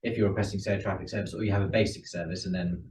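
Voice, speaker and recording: A man with a lowish voice talks quickly and casually, a little hesitant, as a class attendee. He is some way from the microphone in an echoey room. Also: steady tone, a little mellow. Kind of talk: casual, hesitant question from the floor. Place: indoor ground school classroom. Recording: distant, echoey, faintly noisy room recording.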